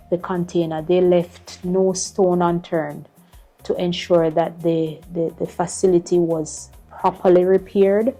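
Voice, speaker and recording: A woman's voice: fairly low pitch, dark tone. A middle-aged woman, a school principal giving earnest interview answers and repeating words as she finds them. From Jamaica.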